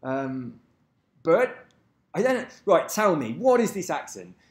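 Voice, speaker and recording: A man's voice: a little high, big pitch swings, slow. A man rambling casually to camera, swearing freely and losing track of names. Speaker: vlogger. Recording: echoey room.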